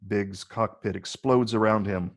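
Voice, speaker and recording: A man with a low, gravelly voice reading screenplay stage directions as the narrator. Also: steady tone, measured pace.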